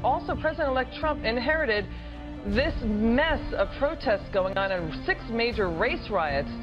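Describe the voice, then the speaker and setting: Higher-voiced American woman hosting news. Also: lively intonation, unhurried. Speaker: TV news host. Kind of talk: broadcast news interview. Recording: muffled broadcast audio with loud music. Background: music.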